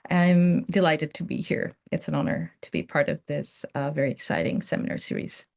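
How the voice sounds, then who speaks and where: Mellow-voiced woman presenting research. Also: low-pitched, very animated, normal speed, creaky. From Bangladesh. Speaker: guest research scientist giving a seminar. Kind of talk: academic presentation.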